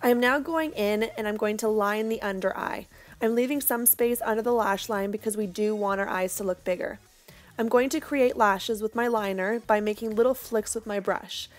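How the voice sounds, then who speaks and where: A young woman, hoarse from losing her voice, giving a casual step-by-step makeup tutorial voice-over. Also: medium pitch, normal speed, soft background music. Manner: casual, chatty, reassuring.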